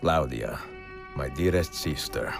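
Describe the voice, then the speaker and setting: A weary, wary older assassin with an Italian accent in a video game. His voice is extremely deep, and he narrates a letter in formal, measured, solemn phrases over clear music. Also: heavily acted. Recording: music behind the voice.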